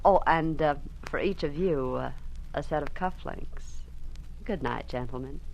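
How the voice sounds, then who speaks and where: A woman's voice: deep voice, unhurried, creaky, a little hiss or hum, rough recording. An actress playing a spirited young woman, a rich man's niece, with a playful delivery in a 1940s radio drama.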